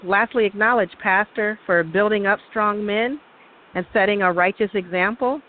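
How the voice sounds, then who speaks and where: Low-pitched woman on a hissy phone line. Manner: reverent, grateful. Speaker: religious show co-host. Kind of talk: devotional talk and testimony.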